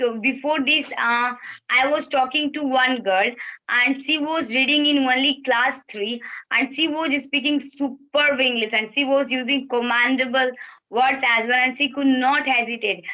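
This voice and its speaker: A woman's voice: sits high, bright, forceful tone, muffled recording. A woman with an Indian accent, a native Bhojpuri speaker, giving patient, encouraging advice on a video call and repeating her key points. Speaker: English practice partner. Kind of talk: encouraging casual conversation.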